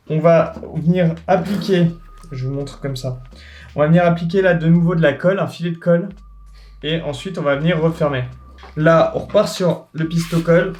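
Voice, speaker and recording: Chatty man walking through a build. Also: on the high side. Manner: relaxed, joking, explains step by step. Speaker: tutorial host. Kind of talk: casual DIY tutorial with banter.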